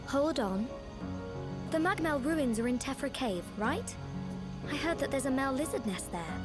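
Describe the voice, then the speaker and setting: A woman's voice: high voice, lively intonation, moderate pace. An actress playing a protective, strong-willed young woman in a video game cutscene, firm and plain-spoken. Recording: game music under the voice. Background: loud background music.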